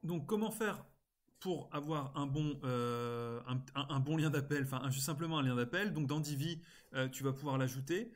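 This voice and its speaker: A man's voice: fairly high pitch, moderate pace. Man giving a casual step-by-step tutorial. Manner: casual, step-by-step explaining with frequent interjections. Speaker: tutorial presenter. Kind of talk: informal screen-recorded software tutorial. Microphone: screen-recording voice-over.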